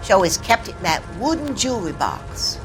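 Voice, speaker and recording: A woman's voice: medium pitch, sing-song, brisk, over music, lightly acted. An actress playing a defensive landlady who answers a detective evasively and indignantly. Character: defensive landlady protecting her reputation.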